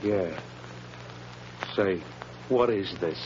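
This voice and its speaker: An actor with an American accent playing a nervous, blustering, streetwise gangster in an old radio crime drama, on a dull recording with heavy noise. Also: male voice, low-pitched, very slow, a little mellow. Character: jittery, tough gangster cracking under pressure.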